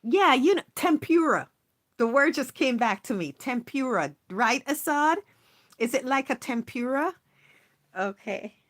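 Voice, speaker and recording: A woman's voice: high-pitched, expressive, unhurried. A woman hosting a casual live stream, reading viewers' messages aloud and chatting with them in an encouraging, upbeat way. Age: adult, not young. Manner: warm, encouraging, reads chat aloud, chatty with laughs. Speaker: livestream host. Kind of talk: casual livestream chat with viewers.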